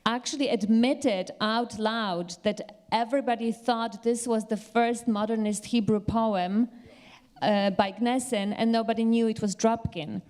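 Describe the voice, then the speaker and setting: A woman speaking as a literary commentator in an informal scholarly discussion, pausing often to recall details. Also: on the high side, fairly even intonation, darkish tone.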